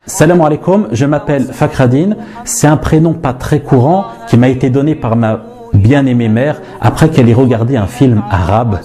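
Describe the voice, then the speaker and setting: A man's voice: mid-range voice, moderate pace, warm tone, soft background music. A man in his forties from France, an Arabic teacher, introducing himself in a chatty, self-mocking yet earnest way. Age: 40s. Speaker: Arabic teacher and institute director.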